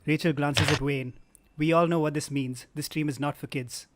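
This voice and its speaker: A man's voice: a little high, fairly even intonation, normal speed, noisy background. A man reading screenplay stage directions as the narrator of a table read. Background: guitar music.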